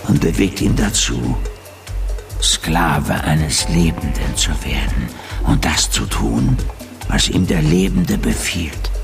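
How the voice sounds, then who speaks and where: An actor playing a grave expert on occult religion in a radio drama, explaining in a solemn, deliberate manner. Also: male voice, deep voice, gravelly, heavily acted. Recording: music under the voice.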